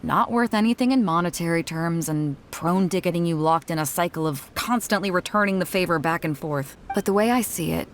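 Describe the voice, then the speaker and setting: A woman's voice: lowish voice, brisk, hardly any pauses, natural voice. A woman playing a bold, easygoing female ship captain in a video game, speaking frankly with casual swagger. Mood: reflective, earnest.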